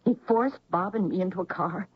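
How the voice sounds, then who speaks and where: A woman's voice: on the low side, fairly quick, slightly rough. An actress playing a bitter, accusing middle-aged mother in a radio drama. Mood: anguished, confessing. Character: bitter middle-aged mother exposing a painful family secret. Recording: muffled old radio broadcast.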